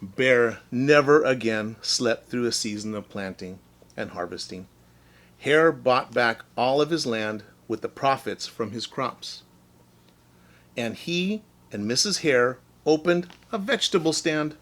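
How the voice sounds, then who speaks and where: Slow, bright-voiced male storybook reader. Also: mid-range voice, expressive. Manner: deliberate, explains simply to children. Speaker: teacher reading stories to young children. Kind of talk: children's storybook read-aloud and simple lesson.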